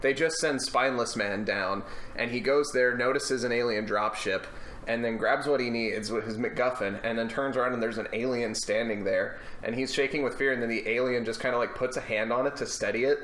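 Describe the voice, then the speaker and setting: A man's voice: medium pitch, fairly even intonation, brisk, a bit bright. A man recounting a movie in casual, profane podcast banter, going into detail. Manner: swears freely, detailed recounting. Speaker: podcast co-host. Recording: roomy, echoey podcast recording.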